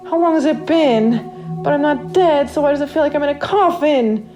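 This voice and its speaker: A woman's voice: high-pitched, normal speed, pitched above the performer's own voice, lightly acted. A woman reading a first-person horror story as a trapped accident victim, in a dramatic, confiding inner monologue. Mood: panicked, pleading. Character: trapped narrator waking after accident, wry then panicked. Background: original music.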